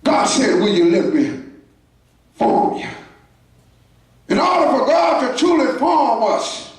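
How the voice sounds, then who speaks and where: High-voiced shouting preacher in an echoey church. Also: male voice, very animated, slow, far from the mic. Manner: preaching in shouted bursts with call-outs to the congregation. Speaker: pastor. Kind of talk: sermon. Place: church sanctuary.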